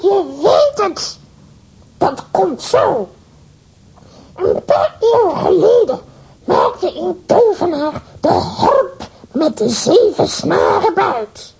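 Shrill, creaky little witch. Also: sing-song, darkish tone, full character voice, low-quality recording. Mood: sly, storytelling. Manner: slow, drawn-out, theatrical wheedling. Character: sly flattering tiny old witch who shapeshifts into a fly. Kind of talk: Flemish children's audio drama acting.

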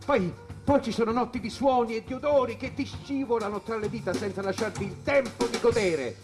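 An actor playing an ailing jazz musician in a dramatic stage monologue, looking back on wild nights from a hospital bed. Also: male voice, very high-pitched, fairly quick, over music. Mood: bitter amusement. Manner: dramatic, swinging between brooding and excited.

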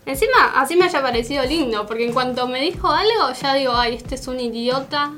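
A woman's voice: sits high, expressive, brisk, fairly bright tone, soft background music. A young woman with an Argentine accent playing an indignant, sarcastic student chatting colloquially in a radio drama. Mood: exasperated, indignant. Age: young adult. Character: indignant young female student venting to a friend. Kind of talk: naturalistic radio drama dialogue.

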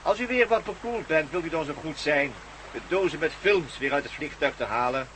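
Bright-voiced, gloating villain captain. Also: male voice, on the high side, animated, a little fast, slight background noise, low-quality recording. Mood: mocking politeness. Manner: mocking, sardonic, barks orders. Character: gloating, commanding male villain captain bent on world domination. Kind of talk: radio drama acting.

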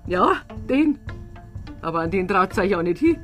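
A middle-aged Bavarian woman, stubborn and grieving, argues bluntly in a medium-pitched voice. She sounds wounded and insistent, like a plain townswoman in a radio play, with soft music underneath. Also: big pitch swings, normal speed. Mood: bitter, accusing. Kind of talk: naturalistic radio drama dialogue.

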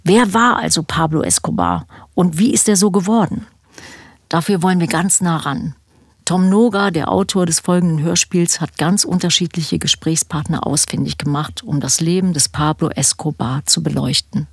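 A woman with a husky voice, narrating a scripted podcast introduction to a true-crime audio drama. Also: lowish voice, expressive, moderate pace, no room sound, studio quality. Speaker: podcast host.

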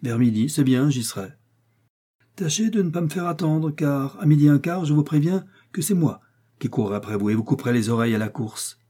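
A man's voice: medium pitch, quick pace, warm tone, breathy edge, close to an everyday voice. A man reading aloud and voicing a proud, cold male musketeer who speaks in a haughty, menacing manner.

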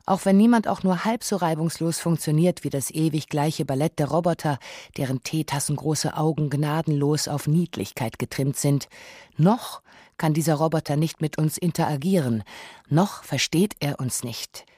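A man's voice: a little high, moderate pace, warm tone, slightly rough, no echo, clean studio sound. A man narrating a scripted radio documentary in a wry, musing manner.

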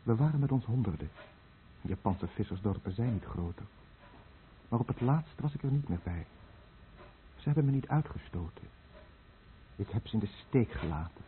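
A man's voice: lowish voice, expressive, normal speed, pauses often, lightly acted. An actor playing a dying, guilt-ridden fisherman who tells of his lost village in a brooding, bitter radio drama monologue. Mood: bitter remorse. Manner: brooding, bitter, confessional monologue addressed to the listener. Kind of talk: Dutch-language radio drama narration. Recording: muffled narrow-band old radio recording, faint noise.

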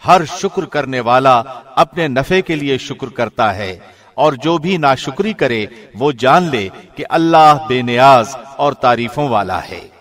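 Male scripture narrator, medium pitch, formal scripted delivery with careful, measured enunciation. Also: expressive, a bit bright. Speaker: translation reader, radio host.

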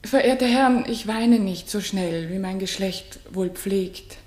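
An actress playing a proud, patient, wronged queen in a classical radio play, speaking in measured, solemn declamation. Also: medium pitch, darkish tone, echoey room, lightly acted. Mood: proud, pained. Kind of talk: classical German radio play stage acting.

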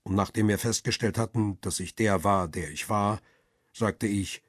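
A middle-aged photographer telling his own story in a radio crime drama. His voice is lowish and husky, with a very dry studio sound. The delivery is measured, wry and reflective. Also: no room sound. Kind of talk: first-person radio drama narration.

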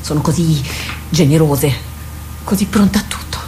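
A woman playing a low-voiced, stubbornly loyal warrior captain. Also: normal speed, warm tone, close to an everyday voice. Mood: solemn, resolute. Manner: solemn, earnest, theatrical delivery. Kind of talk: Italian radio drama acting. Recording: clearly noisy radio drama recording.

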